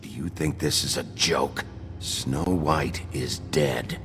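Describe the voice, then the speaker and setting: An actor playing a gruff, weary sheriff detective in a video game, gravelly and terse. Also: male voice, a bass voice, slow pace, background noise, heavily acted. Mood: menacing. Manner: terse, blunt. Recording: loud music behind.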